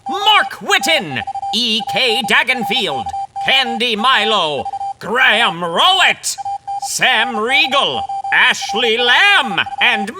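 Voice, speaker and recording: Casting: dramatic retro radio announcer, unhurried, big pitch swings, emphatic scripted delivery. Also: very animated, heavy background noise. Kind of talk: theatrical old-time radio announcing.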